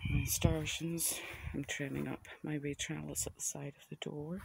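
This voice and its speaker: A man with a Northern Irish accent chats gently and casually as he narrates an unscripted garden tour on a handheld phone outdoors. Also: a little high, level tone, moderate pace, gravelly, heavy background noise. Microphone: handheld phone camera.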